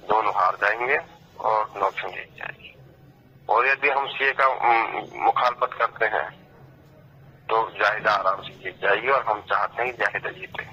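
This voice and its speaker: A man's voice: lowish voice, sharp tone, a little hiss or hum. A man on a muffled phone call, persuading and often checking for agreement. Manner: persuasive, appealing, checks agreement with tag questions. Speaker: government employee on a recorded phone call. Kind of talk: casual phone conversation, persuading.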